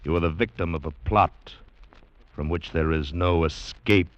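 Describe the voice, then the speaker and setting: Very deep-voiced American radio suspense narrator, male. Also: heavy background noise. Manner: slow, dramatic, ominous delivery. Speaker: announcer and narrator. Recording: muffled vintage radio recording with music. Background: dramatic music.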